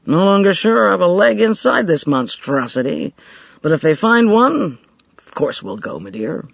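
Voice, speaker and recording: A woman reading an audiobook, voicing a gruff older husband laid up with a leg cast, with a growling, grumbly, dryly ironic manner. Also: fairly low pitch, sing-song, normal speed, dry recording, voice pushed up, heavily acted. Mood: grumbling. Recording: dry, slightly dull studio recording.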